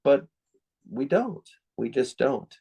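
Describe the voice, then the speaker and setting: A man's voice: medium pitch, sing-song, slow pace, vocal fry, mellow, slightly rough. American man, earnest and emphatic. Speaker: guest, psychiatrist and author. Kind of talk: interview, explanatory opinion.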